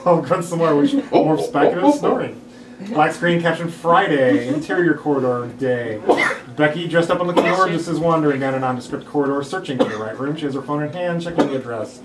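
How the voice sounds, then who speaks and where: Male narrator for a script read-through. Fairly high voice, roomy far-off mic, noisy background. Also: fairly quick. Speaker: table read narrator reading stage directions. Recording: roomy, distant mic, clear background noise.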